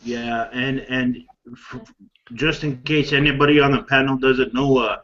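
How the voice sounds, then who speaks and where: A man's voice: mid-range voice, fairly even intonation, measured pace, fairly bright tone, dull audio. A man hosting an online panel, talking in a friendly, reassuring way. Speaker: channel host. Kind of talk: casual group chat.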